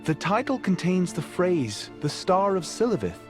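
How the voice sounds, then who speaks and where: An actor playing an earnest, studious mage investigator in a video game, speaking in a thoughtful, articulate way. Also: male voice, high voice, sing-song, normal speed, over music.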